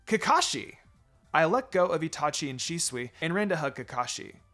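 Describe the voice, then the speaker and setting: Man, story narration, expressive, very varied intonation, slightly high voice, background music. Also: a little fast. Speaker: fan fiction reader.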